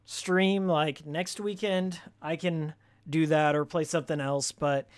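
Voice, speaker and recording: A game streamer with a raspy voice worn from shouting, giving casual, joking, opinionated commentary and fan trivia in slangy language. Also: medium pitch, steady tone, a little slow. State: voice worn from screaming and ranting.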